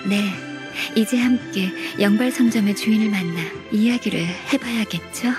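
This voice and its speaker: An actress playing a polite, composed senior official in a video game, courteous and firm in a measured, formal way. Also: female voice, medium pitch, music in the background, lightly acted. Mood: calm, firm.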